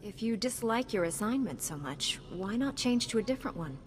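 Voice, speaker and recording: An actress playing a calm, helpful female knight hero in a video game, composed and sincere. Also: a little high, a little fast, slight background noise, natural voice. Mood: friendly, curious. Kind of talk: video game character acting.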